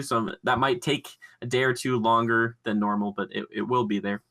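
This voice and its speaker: A man with a bright, forward voice and a middling pitch hosts a casual chat show about pop culture. He talks in an animated, rambling flow and leans on dry sarcasm. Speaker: podcast host.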